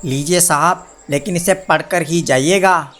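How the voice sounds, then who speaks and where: A man's voice: a little high, measured pace, a bit bright, a little hiss or hum. A man voicing a persuasive street hawker in a cartoon story, coaxing and insistent. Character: persuasive street hawker selling pearls of wisdom. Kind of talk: Hindi cartoon story dialogue.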